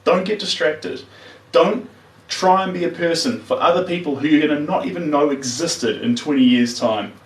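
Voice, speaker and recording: A man's voice: fairly high pitch, normal speed. A man, chatting casually and earnestly while painting on a livestream, giving plain-spoken motivational advice. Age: 30s. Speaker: painter streaming live. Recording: roomy, distant mic.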